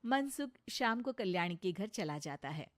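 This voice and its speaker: A woman's voice: medium pitch, very animated, normal speed, dry recording, studio quality. A woman reading scripted narration for a comic moral story as its storyteller.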